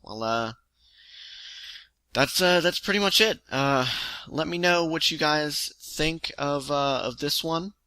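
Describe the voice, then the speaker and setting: A man's voice: a little high, takes it slowly, bright, forceful tone, clean tone, no room sound. A man hosting a storytelling series, reading his own science fiction story aloud in a casual, amateur way. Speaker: storytelling host. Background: music under the voice.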